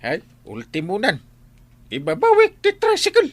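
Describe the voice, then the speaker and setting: A man with an Ilocano accent acting an excitable, suspicious middle-aged village captain in a comedy radio drama, exclaiming and sputtering. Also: high-pitched, normal speed, heavily acted. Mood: indignant. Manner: exclaiming, sputtering, swooping between grumbles and yelps.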